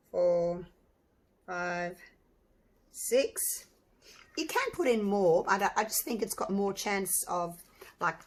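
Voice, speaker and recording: A slightly hoarse woman hosting a casual crafting tutorial, chatting and thinking aloud with lots of ums and restarts. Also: mid-range voice, a bit bright. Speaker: resin artist and channel host.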